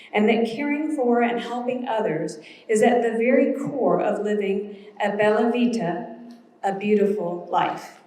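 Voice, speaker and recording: A woman reads an award description in an earnest, deliberate way at a school event. The room around her is echoey. Her voice sits at a middle pitch. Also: a little mellow. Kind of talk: reading a prepared award description. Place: school awards ceremony hall.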